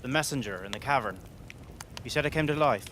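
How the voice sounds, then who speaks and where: An actor playing an eager, polite newspaper writer who talks reassuringly, in an audio drama. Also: male voice, medium pitch, animated, brisk, a bit bright, a little hiss or hum, lightly acted. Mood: friendly, apologetic.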